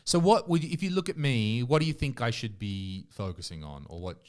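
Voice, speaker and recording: A man's voice: medium pitch, lively intonation, a little fast, darkish tone. A man hosting a podcast in casual chat, teasing his guest and swearing casually. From foreigner living in Estonia. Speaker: podcast host.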